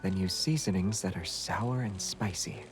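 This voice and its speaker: An actor playing a young man who is the teasing love interest in a video game. His voice is low and calm, with a dry, deadpan delivery of scripted lines, and music plays clearly underneath. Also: moderate pace, close to an everyday voice. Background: prominent game music.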